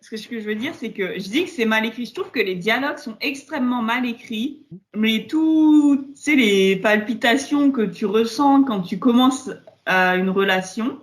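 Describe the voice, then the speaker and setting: A woman with a fairly high voice gives frank opinions on a podcast. She curses casually and does not soften her criticism. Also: lively intonation, normal speed. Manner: blunt, swears freely. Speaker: podcast co-host. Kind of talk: casual chat.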